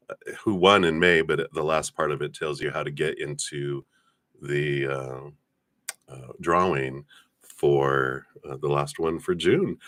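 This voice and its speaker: Low-voiced man with congested sinuses. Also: a bit bright, no echo, clean studio sound. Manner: friendly, rambling, frequent fillers and throat clearing. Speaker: tarot reader hosting a livestream. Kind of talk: casual livestream chat.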